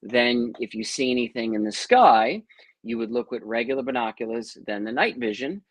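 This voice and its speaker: A man's voice: medium pitch, expressive, normal speed, no echo. A man from Long Island with a New York accent, a guest telling a long story in a chatty interview, full of asides.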